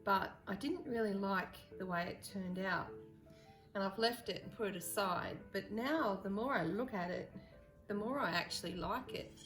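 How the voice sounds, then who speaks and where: A woman with a croaky voice hosting a casual painting tutorial, chatting and thinking aloud as she works. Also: medium pitch, normal speed, fairly bright tone, soft background music, poor audio. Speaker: art tutorial host.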